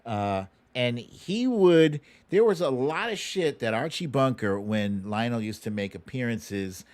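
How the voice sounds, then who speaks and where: A middle-aged American man speaks at an unhurried, slow pace in a medium-pitched voice. He sounds like a livestream host, conversational and slangy as he gives casual commentary. The sound is close and dry. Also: animated, darkish tone, dry recording. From United States. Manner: informal, slangy, conversational, plain-spoken. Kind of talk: casual livestream commentary, taking viewer questions.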